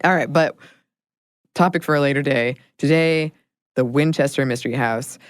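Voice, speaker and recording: Low-voiced chatty woman podcast co-host. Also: moderate pace, clear, resonant tone, dry recording, clean studio sound. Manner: chatty, laughs often, drops to a low murmur at times. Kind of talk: casual podcast chat.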